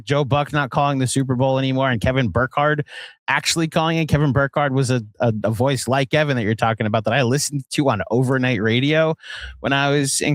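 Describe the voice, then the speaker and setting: An American man from Virginia talks sports as a podcast co-host, with a mid-pitched voice. He tells stories in a loose, conversational ramble. The sound is dry, with hardly any room in it. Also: a bit bright, dry recording. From Virginia, United States. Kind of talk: casual sports chat.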